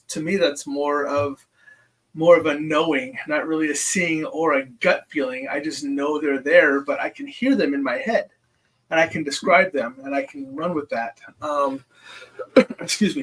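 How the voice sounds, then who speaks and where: A man hosts a relaxed interview, his voice sitting fairly high for a man. He talks hesitantly, circling back with restarts and ums. He sounds a little distant from the microphone. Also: husky. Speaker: podcast host. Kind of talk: casual interview chat.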